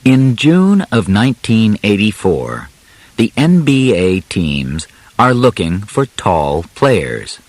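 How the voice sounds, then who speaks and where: Very slow, low-voiced male audiobook narrator. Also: sing-song, a little mellow, no echo. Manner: deliberate, carefully enunciated, short phrases with pauses. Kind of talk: scripted narration of simplified learner audiobook.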